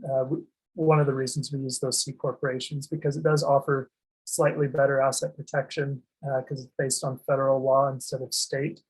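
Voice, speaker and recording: A man explaining legal and tax structures in a slide presentation, speaking in a monotone with frequent ums and restarts. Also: mid-range voice, fairly quick, slightly rough, poor audio. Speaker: asset protection presenter.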